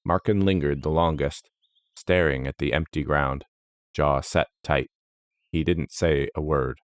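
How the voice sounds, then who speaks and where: A man narrating a scripted fantasy story in a solemn, dramatic, measured manner. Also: extremely low pitch, fairly even intonation, clear, resonant tone, no room sound, studio quality.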